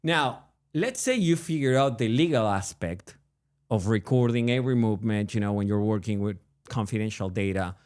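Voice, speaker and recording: A man's voice: lowish voice, lively intonation, normal speed, darkish tone, clean tone. A man from Argentina with an Argentine Spanish accent, co-hosting a podcast in a casual, joking, rambling chat. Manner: joking, rambling, frequent restarts and laughs. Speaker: podcast co-host.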